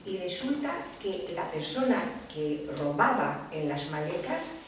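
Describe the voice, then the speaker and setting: Female panelist, low and meandering, distant reverberant room with faint noise. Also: big pitch swings, measured pace. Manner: meandering, self-correcting retelling. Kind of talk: round-table talk. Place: lecture room. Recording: echoey room from far off.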